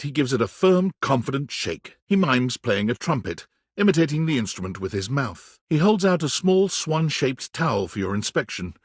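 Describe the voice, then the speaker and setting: A man giving scripted narration for a dating game, wry and deliberate, with dramatic pauses. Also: medium pitch, breathy edge, no echo, clean studio sound.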